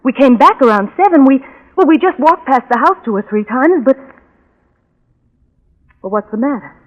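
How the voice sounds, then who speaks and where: A woman's voice: high-pitched, animated, normal speed. An actress playing an obsessive, bitter, lovelorn woman in a 1940s radio drama, speaking with fervent, brooding intensity. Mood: anxious, polite. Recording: dull vintage 1940s radio recording.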